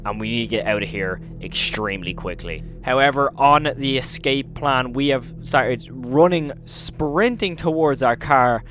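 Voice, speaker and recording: A man's voice: mid-range voice, measured pace, few pauses, fairly bright tone, slight background noise. A man hosting a casual recap commentary, telling the story emphatically and leaning on intensifiers. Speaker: video host and narrator.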